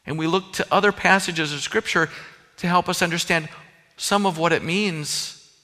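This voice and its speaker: Pastor, male, Minnesota accent, bright and slightly high voice, earnest conversational sermon, reverberant hall. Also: moderate pace. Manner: earnest, conversational preaching with personal asides.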